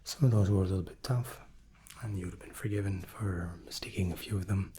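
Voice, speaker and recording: A man doing an ASMR roleplay as an examiner, speaking softly and in whispers, gently reassuring and repeating words. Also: sits low, quick pace, a little hiss or hum. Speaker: ASMR host playing an examiner.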